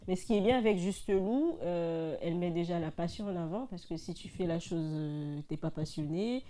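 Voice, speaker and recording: A woman's voice: on the low side, moderate pace, dark tone, slight background noise. A woman hosting an interview show, welcoming her guest and asking questions in an upbeat way. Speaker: interview host.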